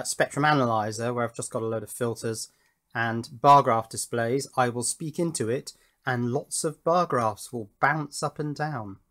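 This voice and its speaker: A British man presenting an informal hands-on demonstration, thinking aloud with an enthusiastic manner. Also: mid-range voice. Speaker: electronics hobbyist presenter.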